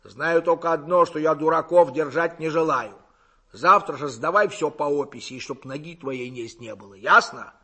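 A man voicing a gruff, commanding village boss in an audiobook, barking blunt, firm orders. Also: fairly high pitch, expressive, normal speed, fairly bright tone. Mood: angry, barking. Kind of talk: audiobook character acting.

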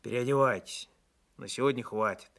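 A man voices a kindly male village paramedic in an audiobook story. He speaks plainly and with folksy, gentle grumbling, teasing yet respectful. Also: mid-range voice, moderate pace, creaky.

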